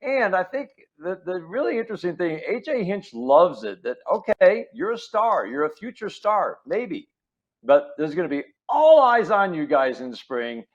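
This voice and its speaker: A man's voice: high voice, very animated, moderate pace. A man talking sports casually and emphatically, as in an interview. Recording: slightly dull, lo-fi sound.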